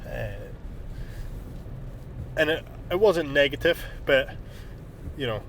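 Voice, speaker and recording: A man's voice: fairly high pitch, slow, a little hiss or hum, poor audio. A Scottish man in his twenties, a business owner, chatting candidly to camera outdoors at a snowy job site while bunged up with a cold. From Scotland. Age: 20s. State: congested with a cold. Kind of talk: casual vlog narration and chat.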